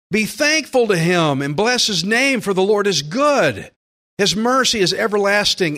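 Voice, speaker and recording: A man delivers a sermon in a somewhat high voice. He is earnest and emphatic, stretching out key phrases for weight as he preaches and reads scripture. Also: a bit bright.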